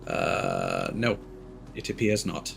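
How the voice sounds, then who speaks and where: A man's voice: low-pitched, huge pitch swings, takes it slowly, creaky, background noise. A man streaming a video game, casually thinking aloud and hesitating with fillers as he plays. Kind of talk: casual gameplay commentary.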